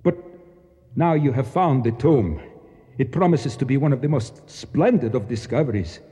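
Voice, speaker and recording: An actor playing a proud, bitter Egyptian nationalist official in a radio drama, speaking formally and precisely with declamatory flourishes. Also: male voice, medium pitch, expressive, normal speed, mellow, breathy edge. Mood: stern, resolute. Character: proud, embittered, fanatical Egyptian nationalist official, courteous yet grim. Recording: dull sound with long echoing reverb.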